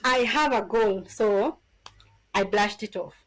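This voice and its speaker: A woman in her thirties from Kenya with a Kenyan accent, a podcast guest telling her story in an animated, casual way. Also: mid-range voice, slow, low-quality recording. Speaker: podcast guest, business founder.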